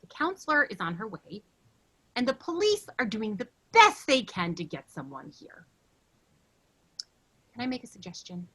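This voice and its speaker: Woman playing a high, sharp, exasperated office worker. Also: sing-song, normal speed, pauses often, breathy edge, heavily acted, low-quality recording. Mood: subdued. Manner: sarcastic, theatrical. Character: exasperated, sardonic, melodramatic female office worker. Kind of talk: staged play reading.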